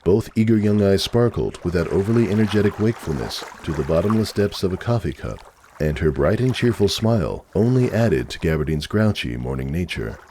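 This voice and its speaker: A man with a low voice narrates a story in a dramatic reading style. His delivery rises and falls in a lively way. There is faint noise behind him. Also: a little fast.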